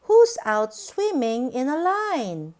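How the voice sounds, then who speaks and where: A woman reading a picture book aloud to young children in a sing-song, exaggerated, deliberate way. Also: sits high, big pitch swings, darkish tone.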